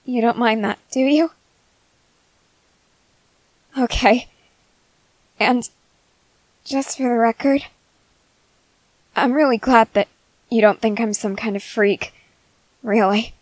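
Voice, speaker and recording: A woman's voice: on the high side, steady tone, slow, pauses often, dry recording, rough recording. A woman playing a shy, stammering sweetheart. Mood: nervous, eager. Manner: stammering, polite and formal phrasing. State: crying with happiness. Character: shy earnest young woman, sweet and formal. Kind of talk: romance roleplay audio addressed to the listener.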